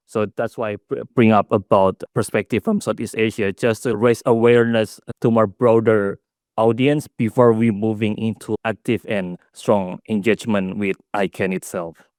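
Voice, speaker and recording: A young man from Indonesia gives a conference talk in a fairly low voice. He speaks in a halting way, with restarts and fillers, and the sound is dry and close with no room echo. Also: a little mellow. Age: young adult. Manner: halting, with self-corrections and fillers. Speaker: student presenter. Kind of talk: conference presentation.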